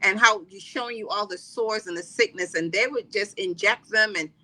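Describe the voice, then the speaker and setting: A middle-aged woman from the Caribbean talks as a podcast guest, rambling and chatty, full of fillers and restarts. Her voice is strikingly bright and forward. She sits at a middle pitch for a woman. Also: normal speed, poor audio. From Trinidad and Barbados. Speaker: podcast guest, wellness coach. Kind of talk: casual interview talk.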